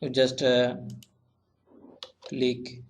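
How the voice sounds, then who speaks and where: A young man giving a step-by-step software tutorial in halting, repetitive, simply phrased non-native English. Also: mid-range voice, monotone. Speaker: web analytics tutorial presenter.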